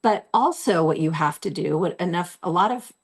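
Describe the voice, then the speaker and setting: A woman in her forties with a slightly low, a little hoarse voice talks straight to camera from a room at home. She coaches in an earnest, encouraging way and repeats her key phrases for weight. It sounds like a webcam recording. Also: animated, moderate pace. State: losing her voice. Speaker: host. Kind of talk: motivational self-help talk to camera.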